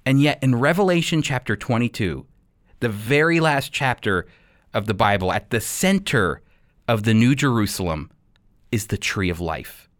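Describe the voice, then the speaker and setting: A man teaching as a radio host in a scripted, sermon-like style, with emphatic, deliberate phrasing. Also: medium pitch, lively intonation, fairly bright tone, no echo, clean studio sound.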